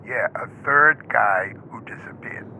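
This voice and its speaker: An actor playing a streetwise, wary investigator in an audio play, talking slangily and conspiratorially in a gravelly voice over a noisy background. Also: male voice, medium pitch, a little slow, pushed and bright, exaggerated voice. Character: streetwise, wary investigator friend named Jake giving warnings. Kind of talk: audio play acting, phone conversation.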